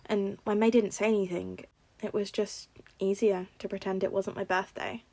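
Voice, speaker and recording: A woman's voice: medium pitch, little pitch movement, normal speed, vocal fry, warm tone. A woman voicing a shy, self-effacing teenage boy with a hesitant, defensive manner in a story reading. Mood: subdued.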